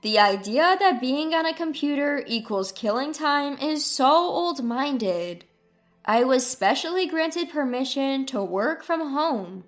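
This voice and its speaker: A woman's voice: high-pitched, lively intonation, slow pace, soft background music. A woman reading a scripted first-person story as its narrator.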